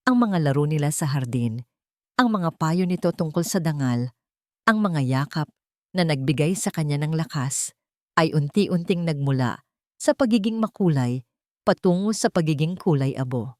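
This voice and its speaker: A woman's voice: low-pitched, expressive, normal speed, dry recording, studio quality. A woman narrating a scripted dramatic story as a storyteller.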